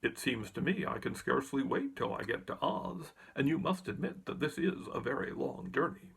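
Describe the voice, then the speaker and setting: A man's voice: mid-range voice, lively intonation, moderate pace, gravelly, a bit bright, breathy edge, exaggerated voice. A man voicing a weary, formal man made of tin in a storybook reading. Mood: plaintive.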